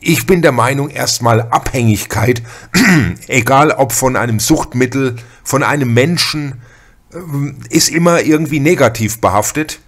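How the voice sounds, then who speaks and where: A man's voice: medium pitch, measured pace, a bit bright. A man hosting a casual discussion podcast, searching for his words now and then as he talks. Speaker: podcast host. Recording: music playing behind the voice. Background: background music.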